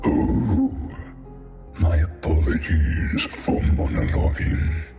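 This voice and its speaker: Cavernous, gravelly demon lord of the deep. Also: male voice, darkish tone, exaggerated voice. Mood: courteous, wry. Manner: grandiose, polite monologuing. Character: courtly deep-sea demon lord, wry, leisurely and theatrical. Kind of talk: scripted game cutscene dialogue. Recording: echoey, music behind.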